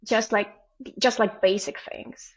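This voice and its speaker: A woman's voice: mid-range voice, fairly even intonation, a little slow, low-quality recording. A woman in her forties with a Ukrainian accent, a podcast guest telling stories in casual chat and acting out family exchanges. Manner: storytelling, acts out family dialogue.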